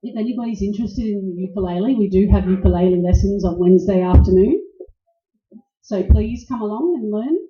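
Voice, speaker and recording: A woman's voice: mid-range voice, fairly quick. A woman hosting a live event, giving informal introductions to a group of radio players. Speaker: host introducing a radio play troupe. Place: indoor live venue before an audience. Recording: echoey room, dull lo-fi sound.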